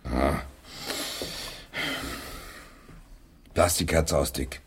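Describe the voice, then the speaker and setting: An actor playing a weary, grumbling middle-aged English playwright in a German radio play, hesitant and complaining. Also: male voice, a bass voice, slight background noise. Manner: slow, hesitant, complaining, dramatic.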